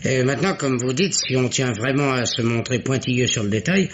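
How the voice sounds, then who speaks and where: An actor playing a methodical, mocking police investigator in a French radio mystery, speaking in a deliberate, ironic way. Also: male voice, mid-range voice, natural voice. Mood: reasoning. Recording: muffled, noisy vintage radio recording.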